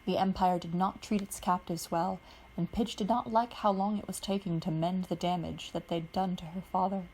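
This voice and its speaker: A woman reading fan fiction aloud in a steady, even narrating manner. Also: fairly low pitch, normal speed, a little hiss or hum, rough recording. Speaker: podfic reader.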